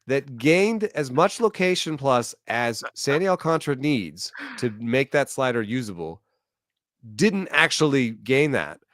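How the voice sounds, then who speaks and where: Male stats analyst, steady mid-pitched delivery, dry room. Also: dry recording. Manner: measured, analytical, stat-focused. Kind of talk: casual baseball analysis chat.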